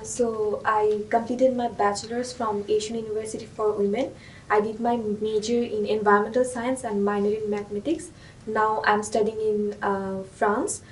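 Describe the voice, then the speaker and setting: Twenties woman from Bangladesh, matter-of-fact, monotone, echoey far-off sound. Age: 20s. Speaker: master's student. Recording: roomy, distant mic, faint rumble.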